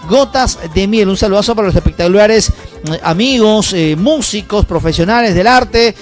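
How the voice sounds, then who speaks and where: Male live-stream host: high voice, quick salesy patter, faint music behind. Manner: rapid, upbeat, salesy, many fillers. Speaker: livestream host. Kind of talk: live broadcast shout-outs and sponsor ad.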